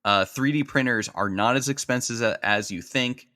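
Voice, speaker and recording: A man's voice: medium pitch, normal speed, bright, forceful tone. A man speaking casually as a guest on a podcast.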